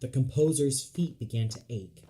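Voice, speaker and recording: A man's voice: mid-range voice, moderate pace, dark tone. A man reading dramatic scripted narration for an audio play. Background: music.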